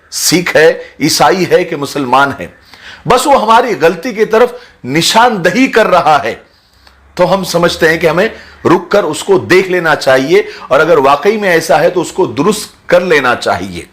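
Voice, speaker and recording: A man giving an earnest, sermon-like commentary to his audience in a measured, emphatic manner. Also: fairly high pitch, very animated, fairly bright tone. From India. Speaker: social commentator speaking to his video audience. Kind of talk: earnest explanatory talk, sermon-like.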